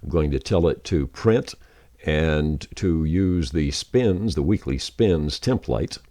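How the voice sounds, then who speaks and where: A man with an extremely deep, dark and relaxed voice walks through software in a casual, folksy way. He sounds like an informal tutorial presenter, recorded close and very dry. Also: takes it slowly, dry recording. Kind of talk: casual screen-share software walkthrough.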